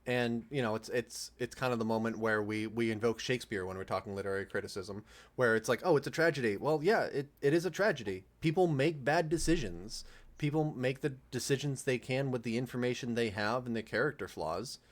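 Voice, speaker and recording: A man's voice: medium pitch, a little fast. A man talking casually in a group commentary, analytical and discursive, piling up examples as he goes. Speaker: panelist in a cast and crew commentary.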